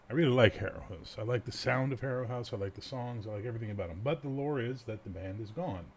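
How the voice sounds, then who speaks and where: A man's voice: fairly low pitch, darkish tone, a little hiss or hum. A middle-aged man casually introducing songs as a show host, rambling and enthusiastic. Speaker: host of an online music show.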